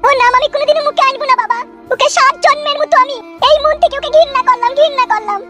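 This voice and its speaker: A woman voicing a young village woman in a cartoon, squeaky and high. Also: fast talker. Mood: remorseful. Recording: loud music behind the voice.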